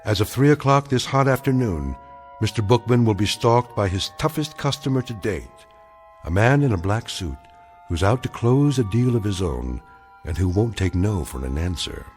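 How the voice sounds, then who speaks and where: An actor playing a grave, omniscient narrator of uncanny radio tales, speaking in clipped, portentous, measured phrases. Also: male voice, lowish voice, animated, a little mellow, natural voice. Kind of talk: dramatic scripted radio narration. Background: music.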